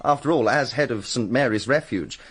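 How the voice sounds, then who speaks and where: Actor as husky young English doctor. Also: male voice, mid-range voice, fairly quick, close to an everyday voice. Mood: challenging. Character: reasonable young doctor, heir to the manor, debating. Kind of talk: radio drama acting.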